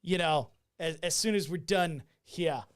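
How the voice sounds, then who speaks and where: A man with a fairly high voice hosting a relaxed live-stream talk show. He banters with his co-hosts and often stops them to clarify a point. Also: a little slow, slight background noise. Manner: chatty, interrupts to clarify. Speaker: host. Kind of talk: casual live-stream chat.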